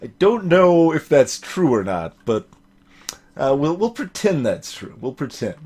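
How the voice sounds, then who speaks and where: A man's voice: on the high side, very animated, measured pace, a little mellow, breathy edge. A man giving casual, joking gaming commentary, rambling and repeating himself as he riffs. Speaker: let's play gamer voicing the game's characters.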